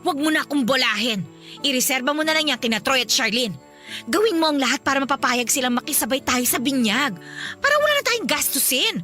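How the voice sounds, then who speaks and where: A brazen, teasing young mother in a radio play. Her voice is high and bright, swooping up and down as she needles and exclaims. She talks like a pushy houseguest who never stops chattering. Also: animated, a little fast, soft background music. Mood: scheming, pushy. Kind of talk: radio drama acting.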